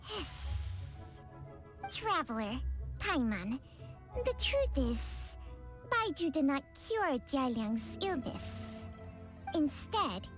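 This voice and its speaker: A woman voicing a small talking snake in a video game, haughty, sharp-tongued and scolding. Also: high voice, big pitch swings, very slow, long pauses, faint music behind, exaggerated voice.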